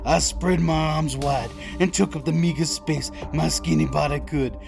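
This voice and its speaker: An actor playing a desperate, protective father in a post-apocalyptic audio drama, narrating tensely and deliberately in the first person. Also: on the high side, steady tone, creaky, over music. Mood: defiant, tense.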